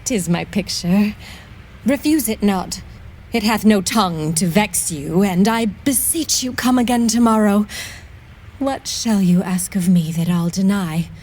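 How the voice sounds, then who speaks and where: A woman's voice: medium pitch, unhurried, darkish tone, close to an everyday voice. An actress playing a lovelorn, proud noblewoman, pleading and ardent, in a Shakespearean audio drama. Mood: ardent longing.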